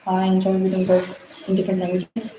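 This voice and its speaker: A woman's voice: on the low side, completely flat delivery, a little fast. A teenage girl from Kazakhstan, a native Kazakh speaker, giving fluent, composed answers at a speaking exam. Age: teens. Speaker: exam candidate. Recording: echoey room with faint noise.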